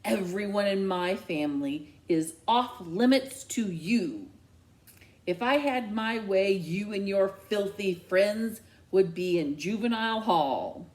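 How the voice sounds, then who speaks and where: Stern angry mother, woman narrator acting, slow, emphatic, swooping intonation, unforgiving, audiobook character. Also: mid-range voice, animated, darkish tone. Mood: furious. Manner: slow, emphatic, clipped commands.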